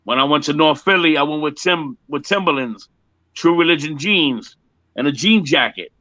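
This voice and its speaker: A man's voice: fairly high pitch, normal speed, pushed and bright, no echo. A man in his fifties, a music performer chatting casually in an interview, joking and repeating himself emphatically on muffled lo-fi audio. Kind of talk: casual one-on-one chat.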